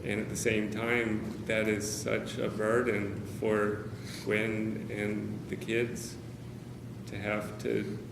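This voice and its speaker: A man speaking candidly to a meeting of caregivers, hesitant, with many pauses and fillers. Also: on the low side, monotone, gravelly. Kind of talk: personal talk to a small group. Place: meeting room with a group of about 45 people. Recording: roomy, noisy meeting-room recording.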